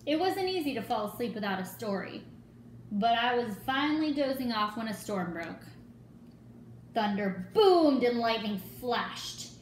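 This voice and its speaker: A woman giving an expressive dramatic reading of a children's picture book, dropping into hushed asides. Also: fairly high pitch. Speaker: storyteller. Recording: echoey room with faint noise.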